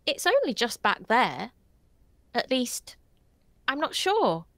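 An earnest, well-mannered little girl played by a male reader answers simply, a little puzzled but polite. The voice is pushed high and lively above the reader's own. It is recorded dry and close, like a storybook audiobook. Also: slow, no room sound, exaggerated voice. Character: polite, curious young English girl.

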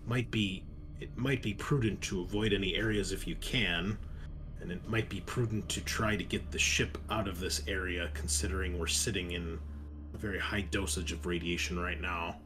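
A man plays a cautious male crewman in a sci-fi roleplaying game. His voice sits a little low and he reports in halting, deliberate phrases. Faint music runs underneath. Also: steady tone, fairly bright tone. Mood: cautious, concerned.

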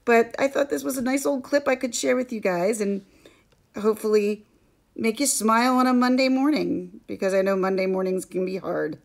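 Mom vlogger with a gravelly scratchy voice, kinda hoarse, rambles and laughs a lot. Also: female voice, a little high, fairly even intonation, normal speed. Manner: chatty, rambling, laughs often. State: hoarse from allergies. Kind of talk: casual chat to camera.

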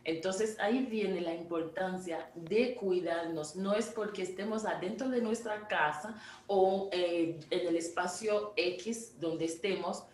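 A woman's voice: on the low side, slow pace, a little mellow, a little hiss or hum. A woman from Haiti in her forties, an image consultant, explaining earnestly over a video call from her home studio, with small restarts as she talks. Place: home studio room indoors. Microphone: video call from a laptop camera. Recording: echoey distant video-call audio.

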